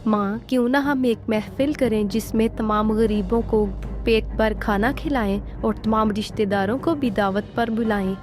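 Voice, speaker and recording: A woman voices a good-hearted young daughter-in-law in a cartoon tale. She is respectful and eager, with a mellow, unhurried voice over faint music. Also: a little high, lightly acted. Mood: happy.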